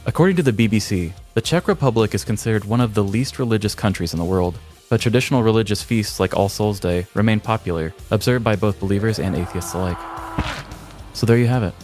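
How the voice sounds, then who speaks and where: A man's voice: on the low side, fast talker, darkish tone, soft background music. A man co-hosting a podcast, speaking in a storytelling and conversational style. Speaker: podcast co-host. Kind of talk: scripted story narration and casual banter.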